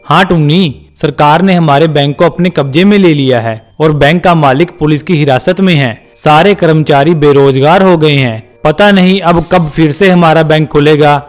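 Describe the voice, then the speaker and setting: Earnest high-pitched father pigeon played by a man. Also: normal speed, few pauses, faint music behind, lightly acted. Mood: worried. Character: earnest, worried father pigeon, family breadwinner. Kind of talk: Hindi children's cartoon voice.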